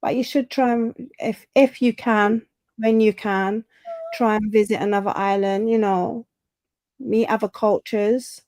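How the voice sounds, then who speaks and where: A woman's voice: mid-range voice, steady tone, a little slow, darkish tone, dry recording. Woman livestream host, chatty, reads comments aloud, close dry sound. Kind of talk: casual livestream chat.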